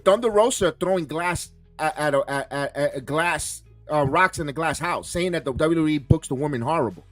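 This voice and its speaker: A man's voice: fairly high pitch, brisk, hardly any pauses, fairly bright tone, no echo, soft background music. A man hosting a wrestling podcast, ranting heatedly with many stumbling restarts and curses. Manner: fiery, stumbling repeats, swears. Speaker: podcast host.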